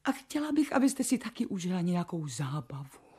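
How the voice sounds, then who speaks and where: A woman's voice: fairly low pitch, big pitch swings, a little mellow, husky, heavily acted. An actress playing a devoted, chatty lady's maid in a radio drama, coaxing and deferential, often speaking in a whisper.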